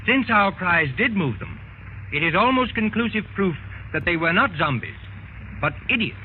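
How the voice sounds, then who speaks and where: Grave scholarly investigator, thin old radio. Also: male voice, high voice, very animated, moderate pace, phone-quality sound, a little hiss or hum. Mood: solemn, reflective. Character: grave, learned male investigator recounting horrors. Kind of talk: old-time radio drama acting.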